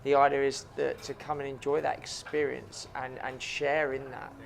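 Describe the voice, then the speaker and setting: A man's voice: medium pitch, flat delivery, normal speed, vocal fry, a little hiss or hum. A man, a chef and restaurant owner answering interview questions earnestly, with frequent ums and repeated words.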